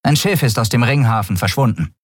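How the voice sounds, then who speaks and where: A man's voice: medium pitch, expressive, fairly quick, husky, no echo, studio quality, voice pushed up. A man voicing a female alien first officer who reports in a formal military manner, in an audiobook.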